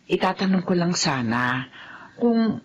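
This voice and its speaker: An actress playing a kind, patient housemaid woman with a Filipino accent, speaking gently and hesitantly in a radio drama. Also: lowish voice, big pitch swings, a little slow, highs cut off. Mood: friendly, hesitant. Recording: faint background noise.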